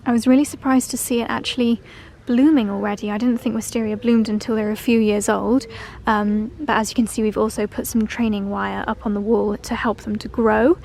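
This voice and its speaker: A woman's voice: on the high side, steady tone, a little fast, vocal fry, a little mellow. A woman vlogging casually, effusive and gushing about home and garden. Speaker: lifestyle vlogger. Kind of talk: casual vlog.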